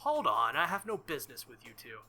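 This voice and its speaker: Man voicing a bright, haughty little girl, playful. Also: on the high side, wildly swooping pitch, a little fast, faint music behind, heavily acted. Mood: haughty, mock embarrassed. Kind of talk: visual novel character read-aloud.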